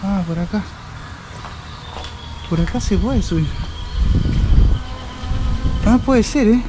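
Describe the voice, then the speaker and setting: A man in his thirties with an Argentine accent, chatting casually as he explores an abandoned roadside restaurant, laughing often and exclaiming with excitement. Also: high voice, slow pace, background noise. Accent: Argentine Spanish. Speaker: urban explorer vlogger. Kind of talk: casual walkthrough chat. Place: outdoors in an abandoned roadside building. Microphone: handheld camera held at arm's length.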